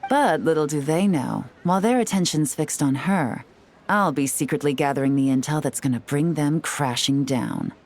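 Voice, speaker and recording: Low-voiced, shrewd secret agent. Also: female voice, animated, a little mellow, slight background noise. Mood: confident, scheming. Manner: measured, knowing, self-assured. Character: shrewd, composed woman intelligence agent, confident and calculating. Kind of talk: video game voice acting.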